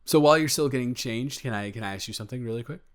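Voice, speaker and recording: A man's voice: mid-range voice, racing, natural voice. A man playing an eager, smitten young boyfriend in an improvised intimate audio roleplay, stammering and correcting himself as he goes. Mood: playful, excited. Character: eager, smitten, flustered young boyfriend, sweet and easily overwhelmed.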